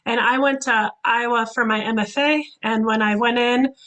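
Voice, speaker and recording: A woman whose first language is Hebrew speaks as a guest author on a video call. She talks animatedly, often restarting phrases, in a somewhat high voice. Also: steady tone, normal speed, fairly bright tone, poor audio. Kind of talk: casual panel discussion.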